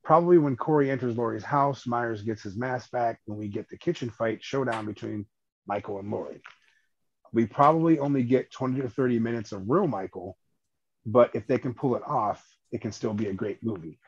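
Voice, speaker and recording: A man's voice: mid-range voice, a little fast, breathy edge, muffled recording. A man co-hosting a horror podcast, chatting casually with many stammered restarts and casual swearing. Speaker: podcast co-host. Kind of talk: casual horror movie chat.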